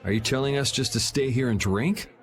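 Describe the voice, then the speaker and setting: An American actor playing a cool, wry, self-assured young hero in an anime game dub, speaking in a dry, sardonic way. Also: male voice, medium pitch, a little fast, lightly acted. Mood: wry, determined.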